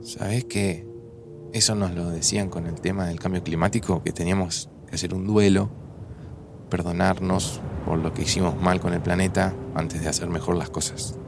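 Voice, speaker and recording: A man's voice: low voice, fairly even intonation, normal speed, gravelly, background noise. An actor playing an earnest, insecure middle-aged man with a Rioplatense Argentine accent, speaking hesitantly in a naturalistic audio drama. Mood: reflective.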